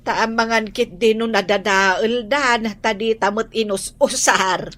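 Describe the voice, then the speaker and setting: A woman's voice: a little high, fairly even intonation, measured pace, a bit bright. A woman playing a doting, agreeable elderly grandmother in an Ilocano family drama. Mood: chatty.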